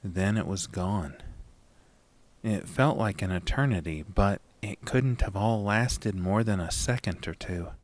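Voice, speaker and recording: A man with a low voice narrates a horror audio story in the first person. His delivery is slow, measured and dramatic, and the recording is very dry. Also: dry recording.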